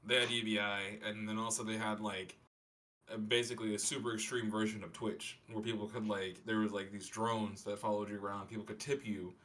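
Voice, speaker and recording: A man with a fairly deep voice talks as one of a podcast panel. His delivery is casual and slangy, with some swearing, as in a loose group chat. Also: flat delivery, fairly quick, fairly bright tone, a little hiss or hum, rough recording.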